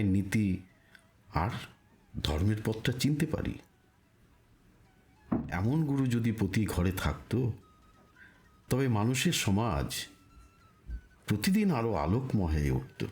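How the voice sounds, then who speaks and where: A man delivering a scripted spiritual narration in a solemn, deliberate manner, with rhetorical pauses. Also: fairly low pitch, darkish tone.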